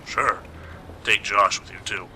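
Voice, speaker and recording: A man playing a gruff, put-upon police investigator in an amateur radio play, with a terse, grumbling delivery. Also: lowish voice, fairly even intonation, a little slow, sharp tone. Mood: agreeable. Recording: noisy amateur home recording.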